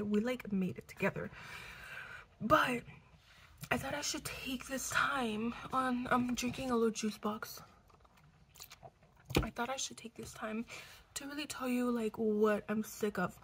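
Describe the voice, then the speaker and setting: A sick young woman in her twenties, hoarse and congested, chatting casually to a close camera at home, cursing and coughing now and then. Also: fairly high pitch, steady tone, moderate pace, long pauses, background noise. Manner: chatty, swearing, coughs between lines. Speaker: vlogger. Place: living room at home. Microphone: camera close to face.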